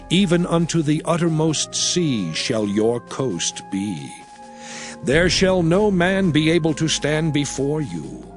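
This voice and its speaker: A man playing a grave, commanding prophet addressing his people in a dramatized scripture reading, solemn, declamatory and emphatic. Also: a little high. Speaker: Bible narrator. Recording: music behind the voice.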